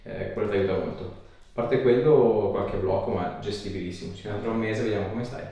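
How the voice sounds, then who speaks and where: A man's voice: lowish voice, fairly even intonation, a little fast, mellow. A man in his thirties, a chiropractor, talking a patient through an examination with short questions and instructions. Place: small indoor treatment room. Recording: echoey room, distant microphone.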